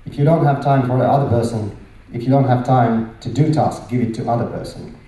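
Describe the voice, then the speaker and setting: A man's voice: mid-range voice, a little fast, warm tone. A middle-aged man from Latvia with a Latvian accent, giving a conference presentation in emphatic short phrases with rhetorical questions. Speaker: conference speaker. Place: large conference hall, indoors. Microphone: handheld microphone. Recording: very echoey hall, distant sound.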